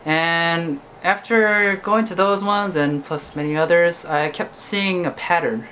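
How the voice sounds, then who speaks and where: A man's voice: on the high side, steady tone, takes it slowly, sharp tone, a little hiss or hum. A young man, a singer-songwriter whose first language is English, talking casually to camera and ending his points with "right?". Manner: chatty, ends points with "right?".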